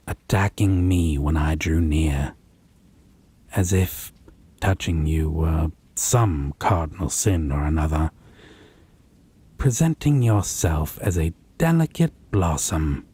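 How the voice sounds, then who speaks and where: A man playing a possessive, condescending vampire lover in a roleplay audio drama, haughty and deliberate with a menacing, husky delivery. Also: low-pitched, dark tone, exaggerated voice. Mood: bitter, accusing. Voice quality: breathy, husky.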